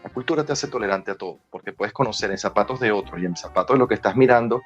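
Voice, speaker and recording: Venezuelan guy explaining stuff in an interview, talks quick, dull lo-fi sound. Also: fairly low pitch. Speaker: guest, screenwriter and former child actor. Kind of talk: conversational interview answers. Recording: dull lo-fi sound with music behind.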